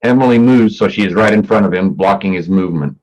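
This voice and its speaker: A man's voice: low-pitched, quick pace, a little mellow, highs cut off. A man reading stage directions as scripted narration for a staged play reading.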